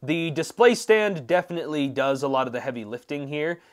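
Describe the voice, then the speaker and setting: A man's voice: on the high side, fairly quick. A man giving a casual, conversational action figure review as the channel host, with emphatic swings between points. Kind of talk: casual product review commentary.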